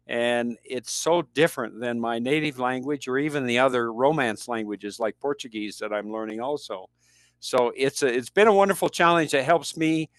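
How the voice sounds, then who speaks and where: Older american guy talking about teaching languages, smooth resonant voice, dry sound. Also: medium pitch, dry recording. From United States. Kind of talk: interview conversation.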